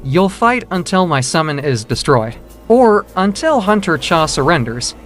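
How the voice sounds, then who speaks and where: A man voices a composed, confident young male hunter for a manga dub. His delivery is measured and thoughtful, with animated intonation. The voice rings with long reverb over background music. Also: a little high. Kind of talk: dramatic manga dub narration.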